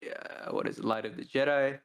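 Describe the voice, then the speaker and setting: Smooth, even-toned male podcast host. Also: mid-range voice, a little fast. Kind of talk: casual discussion.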